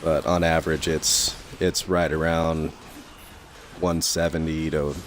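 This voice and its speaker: Low-pitched man, slightly raspy, rambling game commentary, lots of ums, faint background hiss. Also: level tone, measured pace. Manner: rambling, many fillers and restarts. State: slightly raspy from long talking. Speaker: gameplay commentator.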